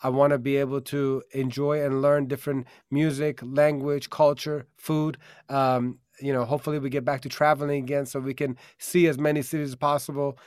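A man's voice: mid-range voice, flat delivery, a little fast, hardly any pauses, darkish tone, clean tone, no echo, clean studio sound. A man born in Germany and raised in America, hosting a live stream in an upbeat, earnest way with many fillers, over a video call. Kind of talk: casual promotional live-stream talk.

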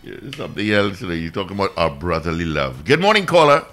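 A man's voice: sits low, sing-song, fast, a bit bright, soft background music. A man hosting a morning radio show, greeting callers and teasing in a jokey, casual way. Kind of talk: casual banter.

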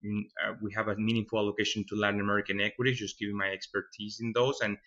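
Low, bright-voiced man from Venezuela. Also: flat delivery, racing, lo-fi sound. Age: 30s. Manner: thoughtful, repeats words while finding them. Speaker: fund manager guest. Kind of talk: podcast interview.

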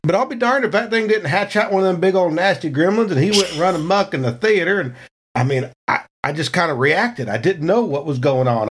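Man acting as folksy Texan theater owner, higher-pitched, swooping intonation, Southern drawl, rambling monster-hunter storyteller, tabletop game. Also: expressive, a little fast, slightly rough. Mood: bemused, rambling.

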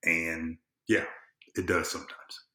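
A man's voice: low voice, flat delivery, takes it slowly, pushed and bright. A man from the southern United States with an American accent, talking casually and emphatically as a podcast guest, with informal, salty phrasing. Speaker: podcast guest, civic engagement consultant. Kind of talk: casual, impassioned conversation. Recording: roomy, echoing sound.

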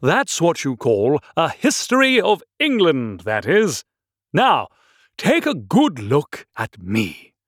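A man voicing a pompous, touchy egg creature from a storybook, haughty and blustering, with halting stammers. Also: a little high, wildly swooping pitch, a bit bright, no echo, clean studio sound, voice pushed up, exaggerated voice. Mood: grand, self-satisfied. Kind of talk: storybook character reading.

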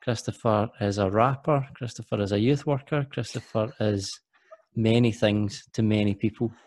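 A man's voice: on the low side, fairly even intonation, normal speed, a little mellow, no echo. A man hosting a podcast interview, chatty and jokey, often restarting his sentences. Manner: rambling, self-correcting, jokey. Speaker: podcast host.

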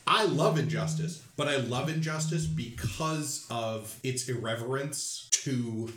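A man co-hosting a casual chat about films and comics, enthusiastic and animated as he tells stories. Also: mid-range voice, slow, faint music behind. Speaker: podcast co-host. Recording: roomy echo.

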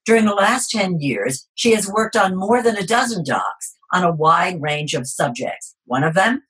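A woman hosting an interview show, reading a measured, formal introduction and then asking questions over a remote connection. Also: low-pitched, a bit bright, breathy edge, low-quality recording. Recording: remote call audio.

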